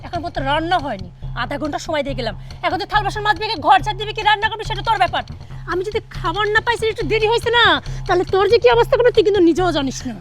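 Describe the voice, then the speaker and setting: A woman's voice: extremely high pitch, animated, very fast. A woman acting as a cruel daughter-in-law who berates and mocks her elderly mother-in-law with harsh, repeated taunts. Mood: berating. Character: cruel hungry daughter-in-law, scolding and spiteful. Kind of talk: melodramatic domestic drama acting.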